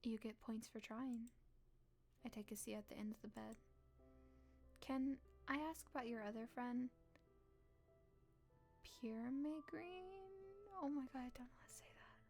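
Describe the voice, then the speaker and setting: Slow-paced, higher-voiced woman streamer reading aloud. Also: a little mellow, soft background music. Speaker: live streamer. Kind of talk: reading a visual novel aloud with casual reactions.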